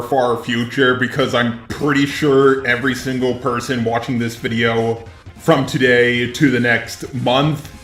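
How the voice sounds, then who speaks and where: A man narrating scripted online commentary in a deliberate, sardonic manner. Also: mid-range voice, a bit bright. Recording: roomy echo, faint music. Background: faint music.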